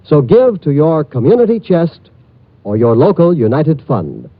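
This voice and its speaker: A man's voice: on the high side, sing-song, a little slow. A man announcing credits and a public service appeal in formal 1950s radio style, on an old lo-fi recording. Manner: smooth formal announcer delivery. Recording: dull lo-fi old radio transcription.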